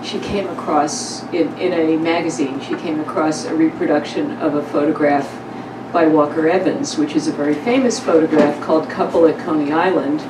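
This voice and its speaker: Low-voiced woman in a noisy, echoey room. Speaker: small press publisher and event host. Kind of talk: informal remarks to a live gathering. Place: echoey room at a live event. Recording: roomy, distant mic with loud noise.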